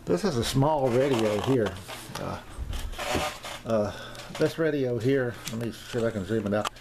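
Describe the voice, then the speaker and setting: An American man in his sixties giving a casual, unscripted hobby demonstration in a halting, rambling, repetitive way. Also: mid-range voice, animated, vocal fry. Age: 60s. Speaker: amateur radio hobbyist presenting his gear. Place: small indoor radio room at home. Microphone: camera mic, tripod then handheld. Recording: roomy echo, background noise, camcorder.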